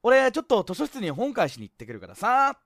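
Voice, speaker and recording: A woman playing an easygoing, scheming older high school boy, breezy and glib, in a youth comedy audio drama. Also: huge pitch swings, quick pace, dry recording, clean studio sound, full character voice. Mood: glib, upbeat. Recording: light music behind.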